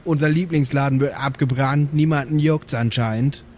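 A man's voice: mid-range voice, steady tone, moderate pace, darkish tone. A man improvising casual banter as a player in a role-playing podcast.

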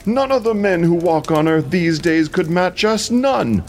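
A man's voice: high-pitched, lively intonation, darkish tone, a little hiss or hum, pitched above the performer's own voice. A man playing a wise, weary old Greek king in an audio drama, giving grave, admonishing counsel. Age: older. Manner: slow, grave, persuasive counsel. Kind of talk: audio drama epic acting.

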